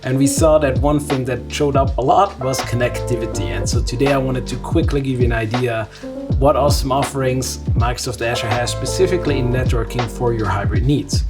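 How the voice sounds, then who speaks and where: A man's voice: medium pitch, steady tone, brisk, loud music behind the voice. A man in his thirties from Switzerland presenting a casual tech explainer, conversational and thinking aloud as he sketches. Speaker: vlog host and tech presenter. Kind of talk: casual whiteboard explainer to camera. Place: small home studio indoors.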